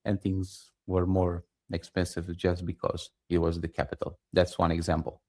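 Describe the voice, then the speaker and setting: A man's voice: sits low, monotone, moderate pace, mellow. A man from Romania with a Romanian accent, co-hosting a casual podcast and adding skeptical, wry asides. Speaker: podcast co-host.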